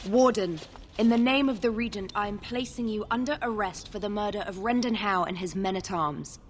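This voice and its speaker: A woman's voice: medium pitch, fairly quick, close to an everyday voice. A woman plays an unyielding female knight officer from a fantasy video game. She speaks in a formal, commanding and scornful manner, with faint noise behind her. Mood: stern, formal.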